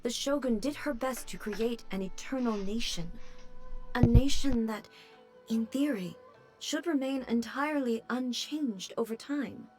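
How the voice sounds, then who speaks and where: A woman voicing a reserved, unworldly divine ruler in a video game, speaking in a measured, formal way. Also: on the high side, over music, lightly acted. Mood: composed.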